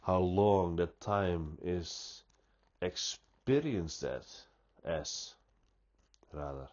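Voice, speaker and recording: A man with a stuffy nose giving an unscripted, reflective monologue, speaking deliberately and often restarting his sentences. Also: low-pitched, a little mellow, highs cut off. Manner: slow, deliberate, thoughtful, with frequent restarts. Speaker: pipe-smoking vlogger.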